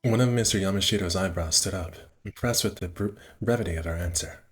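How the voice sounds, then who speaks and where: A man streaming a visual novel, reading the narration aloud in a halting, casual way with stumbles and asides. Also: deep voice, husky.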